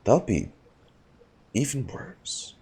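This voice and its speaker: A man's voice: medium pitch, big pitch swings, extremely slow, gravelly, dark tone, husky. A man giving casual live reaction commentary as a video game streamer, musing in trailing phrases.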